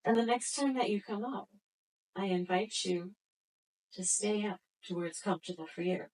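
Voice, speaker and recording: A woman guiding a floor exercise class as instructor, giving slow, gentle, soothing cues. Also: mid-range voice, poor audio. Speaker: yoga instructor.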